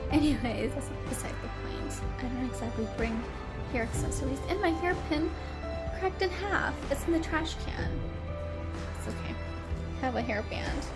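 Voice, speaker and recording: A young woman with a stuffy, congested nasal voice, chatting casually in a travel vlog, rambling and giggly with lots of fillers. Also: fairly high pitch. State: congested from allergies. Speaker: travel vlogger. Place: hotel room.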